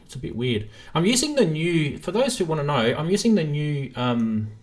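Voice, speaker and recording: A man's voice: fairly high pitch, big pitch swings, normal speed. A man hosting a live stream in a casual, informal chat, thinking aloud as he goes.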